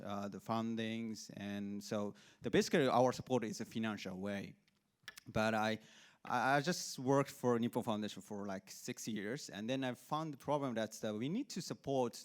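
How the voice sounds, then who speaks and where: Hesitant man with a low, clear voice. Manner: hesitant, many fillers and restarts. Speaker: foundation staff member. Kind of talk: conference remarks. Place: large conference hall.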